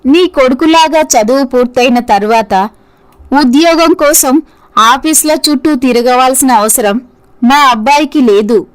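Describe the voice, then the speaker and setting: A woman's voice: sits high, unhurried. A woman playing a wealthy, indulgent mother in a scripted story, haughty and dismissive in manner. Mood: offended, haughty.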